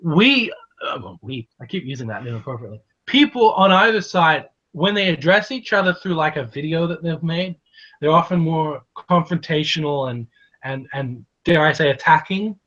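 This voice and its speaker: A man's voice: a little high, animated, moderate pace, fairly bright tone. An Australian man with a slight American tinge to his accent, a guest talking casually on a stream, full of fillers and restarts. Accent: Australian, a bit of American. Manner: hesitant, many restarts and fillers. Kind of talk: casual online stream chat. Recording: dull lo-fi stream audio.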